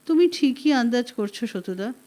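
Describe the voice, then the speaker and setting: A woman voicing a grave, devoted woman in an audiobook, speaking softly and persuasively to an old friend. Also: on the high side, expressive, moderate pace, lightly acted. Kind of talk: audiobook character reading.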